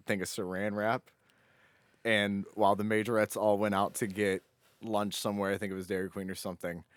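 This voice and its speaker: A man's voice: deep voice, clean tone, dry recording, clean studio sound. A man co-hosting a podcast, telling anecdotes in a jokey, informal way with some swearing. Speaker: podcast co-host. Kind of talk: casual chat, telling anecdotes.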